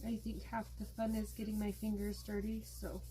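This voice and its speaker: A woman hosting an art tutorial, explaining her steps in a relaxed, chatty way while she works. She is losing her voice. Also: mid-range voice, moderate pace, slight background noise, poor audio. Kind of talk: casual step-by-step craft demonstration.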